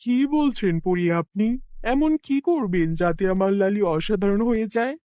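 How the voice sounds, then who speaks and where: A man playing a poor, soft-hearted village farmer in an animated fairy tale, speaking in an earnest, affectionate way. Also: high voice, normal speed, dry recording, natural voice. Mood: puzzled.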